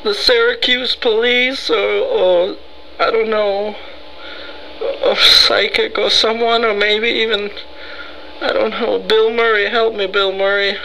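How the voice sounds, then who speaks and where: A man putting on a frightened, rambling, easygoing fellow who pleads haltingly and melodramatically for help in an improvised prank call. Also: high voice, level tone, vocal fry, sharp tone, a little hiss or hum, heavily acted, low-quality recording. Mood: distressed, pleading. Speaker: prank caller.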